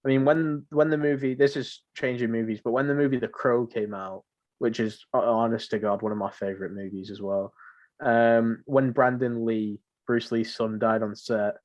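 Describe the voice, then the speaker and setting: A man talks in a relaxed conversational format, as on a podcast. His pitch is average, and his delivery is informal and opinionated. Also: moderate pace, dull audio. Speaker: podcast host. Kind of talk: casual film podcast chat.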